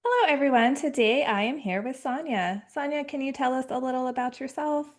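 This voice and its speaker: A woman's voice: high voice, fairly quick. A woman in her thirties interviewing a guest, asking short, friendly questions. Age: 30s. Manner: friendly, asks short questions, joins in playfully. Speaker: podcast interviewer. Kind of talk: casual interview.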